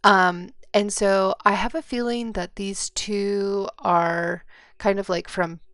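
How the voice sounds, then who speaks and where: A woman's voice: medium pitch, steady tone, slow pace, a bit bright, no echo, clean studio sound. An American woman co-hosting a podcast, chatting casually about books and thinking aloud in an informal, tentative way. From Midwestern United States. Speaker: podcast co-host. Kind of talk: casual book chat.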